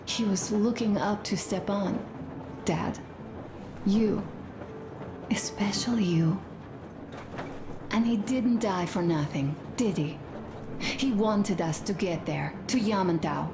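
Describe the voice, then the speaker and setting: Sad gentle young wife in a game, talks slow n confiding, echoey w/ background noise. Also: female voice, medium pitch. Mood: grieving. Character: tender, grieving young soldier's wife. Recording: echoey room, noisy ambience.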